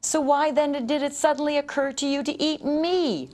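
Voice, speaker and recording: A woman voicing an excitable, indignant little male talking doughnut in a children's storybook, with a dramatic manner. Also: high voice, normal speed, voice pushed up, natural voice. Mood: shocked, indignant. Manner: dramatic, frantic, polite when pleading.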